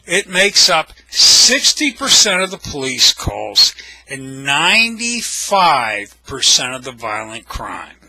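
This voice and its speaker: Older American man, bright forceful husky voice. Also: mid-range voice, big pitch swings, slow, dry recording, poor audio. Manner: blunt, wry, salty-tongued. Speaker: storyteller, video blogger. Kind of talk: casual anecdotal storytelling.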